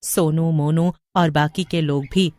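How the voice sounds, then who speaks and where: A woman storyteller with a slightly low voice reads a scripted tale. Her pace is slow and measured, and the sound is dry. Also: fairly even intonation, darkish tone, dry recording. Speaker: storyteller narrator.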